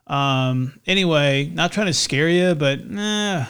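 A man with a slight lisp, chatting casually as a podcast host in a halting way, often restarting his phrases. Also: on the high side, expressive, a bit bright, smooth. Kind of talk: casual co-hosted podcast chat.

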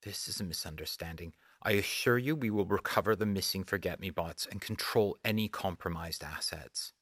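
Man acting as audiobook hologram boss, low-pitched, formal, legalistic, seething, close dry sound. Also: moderate pace, a bit bright, no echo. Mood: tense, protesting.

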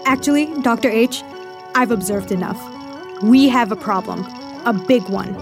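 A woman acting an alarmed scientist in an audio horror drama. Her voice is high and firm, with faint music underneath. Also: normal speed, natural voice. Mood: urgent, defiant. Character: firm, alarmed female lab researcher.

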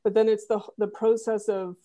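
A woman's voice: mid-range voice, level tone, normal speed, warm tone. A woman guest on a podcast, talking casually with lots of fillers and restarts. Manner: rambling, many fillers and restarts. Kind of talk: casual chat.

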